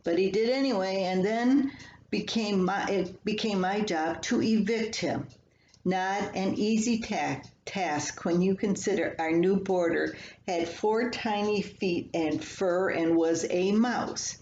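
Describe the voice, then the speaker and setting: Older lady reading her funny column, real slow and folksy, bit muffled, faint noise behind. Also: mid-range voice, a little mellow. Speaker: columnist reading own work. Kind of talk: reading a humorous personal column aloud. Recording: echoey room, slightly dull, faint hiss.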